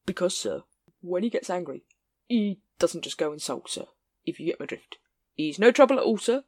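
A woman's voice: lowish voice, expressive, moderate pace, a little mellow, dry recording. A woman voicing an anxious, fumbling middle-aged sergeant who hesitates and pleads. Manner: hesitant and pleading, with ums and uhs.

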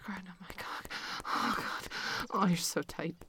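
A woman playing a dominant, possessive lover in intimate adult roleplay audio, mostly in a breathy whisper with drawn-out sighs between short phrases. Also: on the low side, steady tone, lightly acted. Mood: possessive, aroused.

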